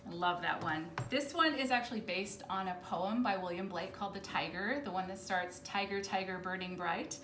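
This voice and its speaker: A woman's voice: medium pitch, normal speed, hardly any pauses, fairly bright tone. A woman librarian casually presenting children's books in a chatty, informal way, explaining things as she goes. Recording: roomy echo with faint background noise.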